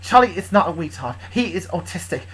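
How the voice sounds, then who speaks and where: A woman's voice: fairly low pitch, sing-song, fairly quick, a bit bright, breathy edge, heavily acted. Woman as a fiercely protective, threatening girlfriend. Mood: menacing. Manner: firm, threatening. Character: fiercely protective, blunt girlfriend defending her partner.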